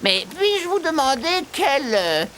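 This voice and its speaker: Prim, lilting cartoon garden creature. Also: female voice, sits high, sing-song, unhurried, a bit bright, slight background noise, full character voice. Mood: curious, polite. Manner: prim, well-mannered. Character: genteel, cheerful, easily affronted damp-loving garden creature. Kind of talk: children's cartoon acting.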